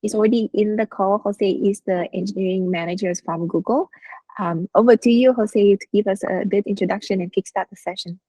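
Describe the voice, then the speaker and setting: A woman's voice: medium pitch, steady tone, a little fast, warm tone, dry recording, poor audio. A woman moderating an online session, giving a short formal introduction of the speaker and handing over to him. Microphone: video call.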